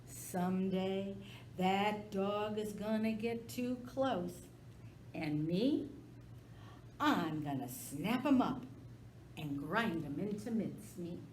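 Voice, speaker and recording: A greedy, slow-witted alligator who hisses and gloats over his prey, as a woman puts him on while reading a storybook. His threats come slow and deliberate. The recording sits in an echoey room with faint noise behind. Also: mid-range voice, darkish tone, natural voice. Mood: menacing. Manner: hissing, threatening. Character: greedy dim male alligator, hissing and menacing.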